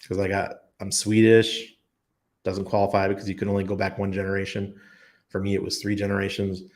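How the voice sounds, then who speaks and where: Stream host, male, low gravelly voice, loose rambling delivery with ums and restarts. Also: completely flat delivery, a little fast, darkish tone. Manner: rambling, thinks aloud with many ums and restarts. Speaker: livestream host answering chat. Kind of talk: casual chat. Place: expat living abroad.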